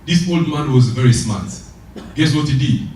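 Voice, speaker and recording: A French-speaking man from Congo delivers an upbeat motivational speech in a Congolese-accented voice that sits a little high. He fires rhetorical questions at the crowd and repeats his punchlines. The sound is distant and booms around a large, very echoey hall. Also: normal speed, slight background noise. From Democratic Republic of Congo. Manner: lively, asks the audience rhetorical questions and repeats key phrases.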